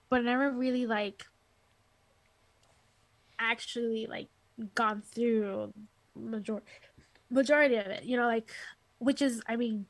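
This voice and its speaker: A woman, interview guest and music teacher, with a soft, light, sweet speaking voice, chatting casually with lots of fillers over a call. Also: fairly high pitch, no room sound. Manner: rambling, filler-heavy, giggly. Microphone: headphones on a call.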